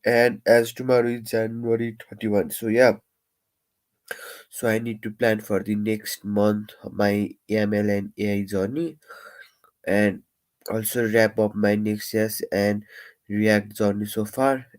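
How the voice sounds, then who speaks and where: A young man who stammers, talking in a casual, reflective live-stream monologue with many pauses, fillers and restarts. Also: on the low side, a little mellow.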